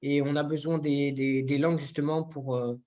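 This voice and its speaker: A young man from France, co-hosting a casual discussion and often pausing to search for words. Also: on the high side, little pitch movement, mellow. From France, near Toulouse. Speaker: co-host.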